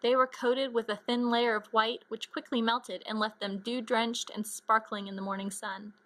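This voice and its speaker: A woman's voice: fairly high pitch, little pitch movement, normal speed, a bit bright. A woman reading a children's storybook aloud as an amateur narrator. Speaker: amateur reader, choir member.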